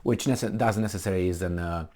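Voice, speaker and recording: A man with a Romanian accent reads a news article aloud and keeps breaking off to comment in a loose, casual way. His voice sits a little low, and his talk is full of fillers, restarts and corrections as he drifts between topics. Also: quick pace, darkish tone. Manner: rambling, digressive, with many fillers and self-corrections.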